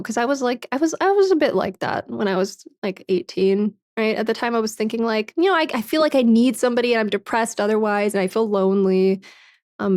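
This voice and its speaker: A young woman streamer talks things over with her viewers in a loose, frank way. She swears freely, laughs often, and leans on "like" and "man". Her voice sits at an ordinary pitch and sounds dry, with no room echo. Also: creaky. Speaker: livestreamer. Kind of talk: casual chat, reading and answering viewer comments.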